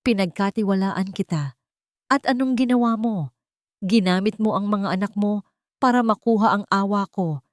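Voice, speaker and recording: A woman's voice: medium pitch, moderate pace, long pauses, no room sound, clean studio sound, close to an everyday voice. A woman with a Filipino accent playing a wounded, commanding businessman in an audiobook, accusing and cutting. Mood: hurt rage. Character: wounded, bitter, commanding male business executive. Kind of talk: audiobook character acting.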